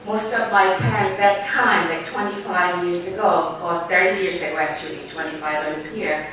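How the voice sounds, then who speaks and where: Woman, forties, Bangladeshi accent, halting heartfelt speech, lowish voice, distant echoey hall. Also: fairly bright tone. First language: Bengali. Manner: halting, heartfelt, loose grammar. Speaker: newspaper editor giving a speech. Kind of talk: formal event speech. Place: large banquet hall. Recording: echoey hall, distant mic, faint room noise.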